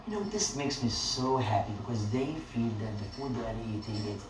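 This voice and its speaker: A middle-aged man from Syria, a restaurant owner and teacher talking earnestly and reflectively in an interview, with filler pauses. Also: medium pitch, expressive. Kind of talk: personal interview storytelling. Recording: roomy, distant, noisy ambience.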